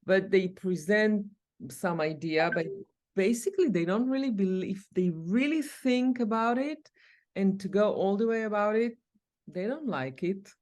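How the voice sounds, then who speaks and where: A woman's voice: medium pitch, animated, unhurried, a little mellow. A woman co-hosting an interview, speaking earnestly with loose phrasing. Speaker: podcast co-host. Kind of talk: interview chat.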